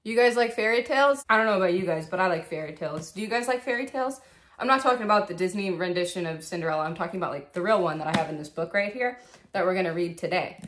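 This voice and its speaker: Low-voiced young woman vlogger. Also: quick pace, fairly bright tone, roomy sound. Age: 20s. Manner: candid, swears freely, breaks off into asides. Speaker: video host reading a fairy tale aloud. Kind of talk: casual vlog talk and storybook reading. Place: small bedroom, indoors.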